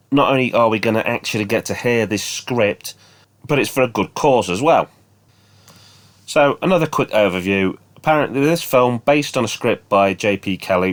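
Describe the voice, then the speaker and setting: A man's voice: mid-range voice, dry recording. A man presenting an enthusiastic fan update as a video host, speaking in an earnest way.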